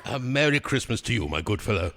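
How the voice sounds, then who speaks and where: An actor playing a joyful, giddy old reformed miser with exuberant, effusive delivery in a theatrical radio drama. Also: male voice, mid-range voice, big pitch swings, quick pace, background noise, lightly acted. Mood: cheerful, kindly. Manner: exuberant, effusive, laughing, exclaiming. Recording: reverberant hall sound.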